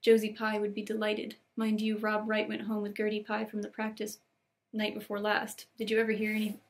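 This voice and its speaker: A woman's voice: medium pitch, monotone, brisk, lightly acted. A woman voicing a friendly, practical young girl in a storybook read-aloud, chattering and gossipy.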